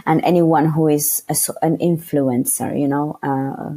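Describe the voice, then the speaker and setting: A young woman from Afghanistan with a low, husky voice talks candidly in a relaxed interview. She is outspoken and unabashed, and she often hesitates with fillers while she looks for the right word. Her tone is conversational. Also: unhurried. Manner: frank and outspoken, searches for words with many fillers. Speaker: interview guest.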